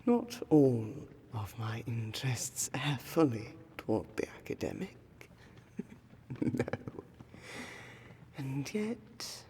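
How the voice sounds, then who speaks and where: A woman playing an exhausted, grieving, centuries-old noble lady vampire in a fantasy audio roleplay, speaking formally and haltingly, sometimes dropping to a breathy whisper. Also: rumbling low, very animated, warm tone, a little hiss or hum, heavily acted. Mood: wry, weary. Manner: formal archaic diction, halting, sighing, slipping into breathy whispers. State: exhausted and pained. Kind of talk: fantasy roleplay audio drama, intimate monologue. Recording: music behind the voice. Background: music.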